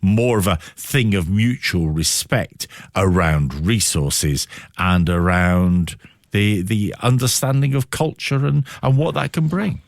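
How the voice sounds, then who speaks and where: A middle-aged man interviewing on the radio, putting long, reflective questions with deliberate, emphatic phrasing. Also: fairly low pitch, creaky, no room sound, clean studio sound.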